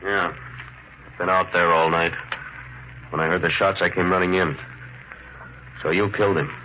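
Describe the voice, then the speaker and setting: A man's voice: sits low, fairly even intonation, normal speed, lightly acted. An actor playing a plain-spoken small-town policeman in a 1950s radio thriller, folksy, reassuring and rustic in his phrasing. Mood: steady, reassuring. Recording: muffled old radio, faint noise.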